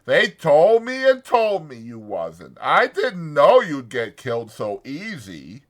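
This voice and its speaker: A man voicing a huge, childlike, simple-minded man in an audiobook, slow and plaintive with folksy uneducated speech. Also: on the high side, huge pitch swings, pitched above the performer's own voice, heavily acted. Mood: sorrowful. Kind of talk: dramatized audiobook character acting.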